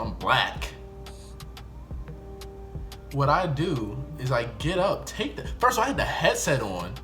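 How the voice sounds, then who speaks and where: A young man with an American accent telling a casual, slangy first-person story in an animated way, acting out bits of dialogue. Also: mid-range voice. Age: young adult. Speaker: YouTube storyteller.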